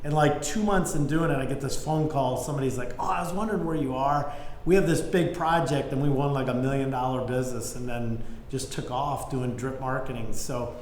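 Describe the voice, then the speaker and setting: A man's voice: mid-range voice, fairly quick, darkish tone. Middle-aged man telling stories, echoey room. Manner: informal, peppered with "you know" and "like". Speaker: business executive, interview guest. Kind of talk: conversational anecdotal storytelling. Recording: echoey room, faint background noise.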